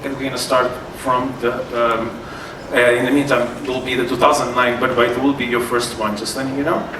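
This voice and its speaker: Brief: Portuguese-accented man presenting a seminar, halting delivery full of restarts, heard from a distance in a roomy hall with background noise. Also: medium pitch. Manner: halting, many fillers and restarts. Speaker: sommelier giving a wine seminar. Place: event hall with tasting tables. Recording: echoey room, far from mic, noisy.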